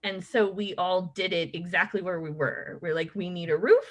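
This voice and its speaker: A woman in her late thirties hosting a podcast, talking in a chatty, animated way with many fillers. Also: fairly low pitch, normal speed. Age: late 30s. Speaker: podcast host. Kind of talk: casual chat.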